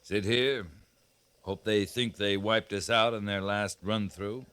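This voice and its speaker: An actor playing a stern, dry-witted cavalry captain, curt and commanding, with an American accent, in 1950s radio western style. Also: male voice, on the low side, fairly even intonation, slow pace, a bit bright, smooth. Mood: stern, terse. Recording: noisy vintage 1950s radio recording.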